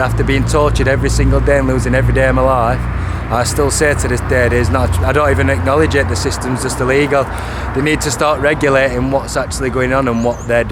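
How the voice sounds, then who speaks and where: A man, talking to camera outdoors by a street, repeating and correcting himself insistently. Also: medium pitch. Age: 30s. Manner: repetitive, restarting, insistent. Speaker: vlogger. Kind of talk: first-person video to camera. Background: traffic noise.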